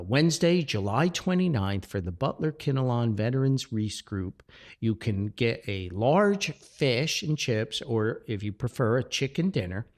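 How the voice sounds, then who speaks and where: A man hosting a podcast in a casual, rambling monologue, folksy and effusive, often exclaiming and digressing. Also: mid-range voice. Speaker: podcast host.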